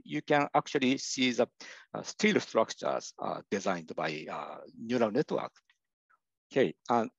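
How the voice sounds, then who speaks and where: An older man with a Japanese accent, a professor giving a slide lecture in English, halting often with "uh" and restarting his sentences. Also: mid-range voice, creaky, husky, dry recording. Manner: hesitant, many "uh" fillers and restarts. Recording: dull lo-fi dry recording.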